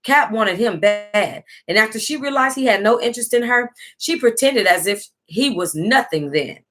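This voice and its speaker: Female, American, higher-pitched. Book club livestream host, casual animated read-aloud with blunt, salty reactions. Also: normal speed.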